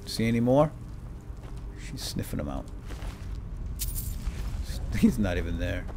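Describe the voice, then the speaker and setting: A man's voice: deep voice, very animated, slow pace, background noise. A man hosting a let's play with lightly role-played commentary, murmuring asides and breaking into exclamations. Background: game music.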